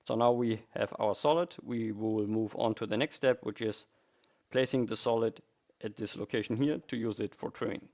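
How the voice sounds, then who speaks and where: A man narrating a software tutorial step by step in a methodical, explanatory way. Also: lowish voice, moderate pace, lo-fi sound.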